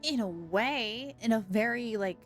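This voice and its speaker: A woman's voice: on the high side, lively intonation, a little slow. A woman streaming a game, giving casual live reactions and commentary. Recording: game music behind voice.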